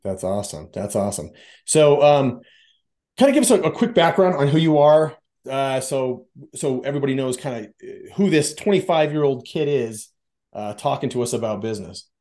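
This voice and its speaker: A man's voice: mid-range voice, animated, normal speed, mellow. A man hosting a podcast, introducing his guest and asking questions in a laid-back, casual way with frequent fillers. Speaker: podcast host.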